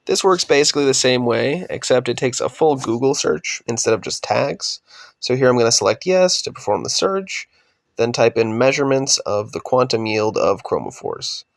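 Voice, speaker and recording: A young man with a voice raspy from a cold, steadily narrating a slide presentation on his undergraduate research project. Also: medium pitch, moderate pace, dry recording, studio quality. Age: young adult. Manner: steady, explanatory, trails off at sentence ends.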